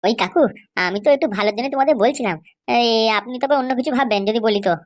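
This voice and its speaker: A woman's voice: a little high, very fast, lightly acted. A woman voicing a persuasive young go-between who coaxes an elder to pay up in a comedy cartoon. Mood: coaxing. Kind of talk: amateur comedy cartoon dialogue.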